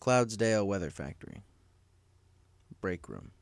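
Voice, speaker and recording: A man's voice: lowish voice, sing-song, unhurried, creaky. A man reading a story aloud as scripted narration. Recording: faint music under the voice.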